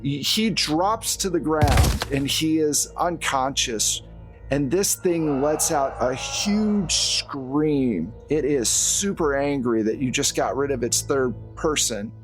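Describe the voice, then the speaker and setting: A man's voice: a little high, slow, soft background music. A man narrating a horror tabletop game as game master, in a casual, conversational storytelling way.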